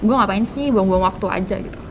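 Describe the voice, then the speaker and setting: A woman's voice: mid-range voice, fast talker, slight background noise. A teenage girl, a student guest answering casually and dropping in English words. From Indonesia. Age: teens. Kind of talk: casual podcast interview.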